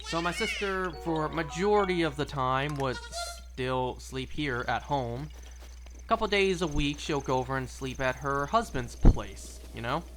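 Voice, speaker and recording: A man's voice: fairly high pitch, a little slow, background noise. A young man chatting casually and rambling through gameplay commentary, with wry little asides. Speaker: streamer.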